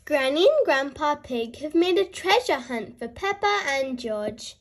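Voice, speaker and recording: A young-sounding host reading a children's storybook aloud in a cheerful, expressive way, speaking directly to young listeners. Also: high voice, measured pace. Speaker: host and reader of a children's read-aloud show.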